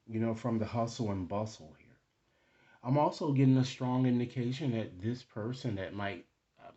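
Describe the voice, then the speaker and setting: A man hosting a card reading, speaking to viewers in deliberate, formal phrasing and often checking in with a short tag question. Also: mid-range voice, warm tone, dull audio. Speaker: tarot card reader and channel host.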